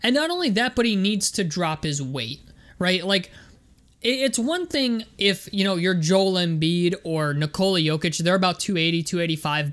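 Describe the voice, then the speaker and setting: A young man hosting a casual basketball vlog, his voice a little rough and strained. He rambles through his points with plenty of "um"s and stuttered restarts. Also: sits high, fairly bright tone. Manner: rambling, repeats words, many fillers. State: voice rough from medication. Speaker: sports vlogger.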